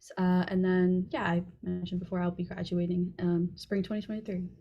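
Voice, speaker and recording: A woman's voice: fairly low pitch, little pitch movement, a little fast, lo-fi sound. A young woman, a student panelist, introducing herself in a friendly, chatty way on a video call. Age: young adult.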